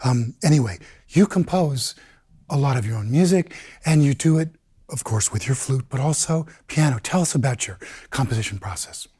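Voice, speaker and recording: A man's voice: mid-range voice, expressive, normal speed, warm tone, husky. A man hosting an interview show, friendly and encouraging, often adding short affirming words. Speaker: show host.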